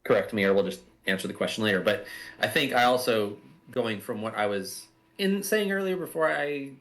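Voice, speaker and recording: A man with a medium-pitched voice in an unscripted commentary discussion. He is reflective and halting, often restarting a phrase to word it more exactly, as a writer talking about his own work. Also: lively intonation, a bit bright. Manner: halting, reflective, circling back to rephrase. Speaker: audio drama writer and creator.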